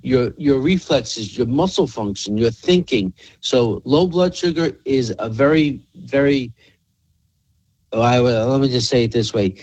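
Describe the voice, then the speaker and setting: A man's voice: medium pitch, measured pace, a little mellow, husky, no echo, highs cut off. A man hosting a health radio show, explaining in an emphatic way and repeating phrases for effect. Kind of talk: health talk radio with product pitch.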